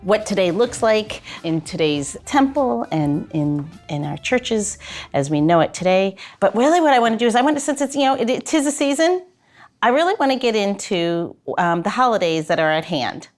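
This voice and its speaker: A woman's voice: mid-range voice, big pitch swings, moderate pace, soft background music. A woman hosting a podcast in casual, informal interview chat, with a self-deprecating way of talking. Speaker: podcast host.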